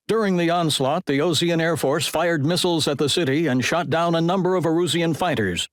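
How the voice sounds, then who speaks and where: A man's voice: fairly high pitch, no room sound, close to an everyday voice. An actor playing a serious, composed male broadcast reporter, giving a formal, factual news report.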